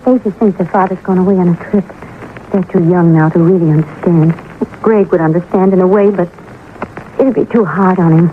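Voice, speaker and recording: An actress playing a sad but composed young widowed mother in a 1950s radio drama, speaking in a measured, hesitant, restrained way. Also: lowish voice, steady tone, close to an everyday voice. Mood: subdued grief. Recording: noisy narrow-band old radio recording.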